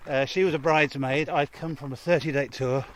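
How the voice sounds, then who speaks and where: A man's voice: a little high, steady tone, a little fast, a bit bright, slight background noise. A man, a guest musician, chatting casually and laughing often during an interview on an outdoor walk.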